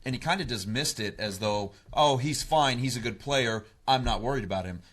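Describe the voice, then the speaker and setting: A man's voice: medium pitch, a little fast, a bit bright. A man with an American accent, a sports reporter giving blunt, critical opinions in a panel discussion.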